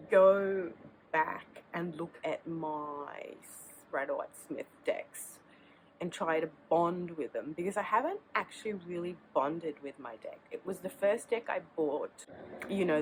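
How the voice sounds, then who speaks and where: A woman's voice: lowish voice, slight background noise, poor audio. A woman with a sore throat and a cold, chatting casually to camera outdoors as the host of her tarot channel, rambling and restarting sentences. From Australia.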